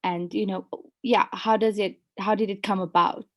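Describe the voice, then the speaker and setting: Woman magazine interviewer, polite, halting questions padded with fillers. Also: medium pitch, fairly even intonation. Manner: polite, hesitant questions with "you know" and "um".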